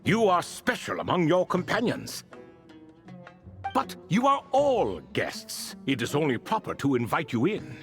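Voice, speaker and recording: Pompous, talkative talking cat, theatrical swooping voice. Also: male voice, fairly high pitch, wildly swooping pitch, unhurried, fairly bright tone, soft background music, full character voice. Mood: gracious. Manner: formal, pompous, loquacious. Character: talkative, proud, courtly ancient talking male cat. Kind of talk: video game character acting.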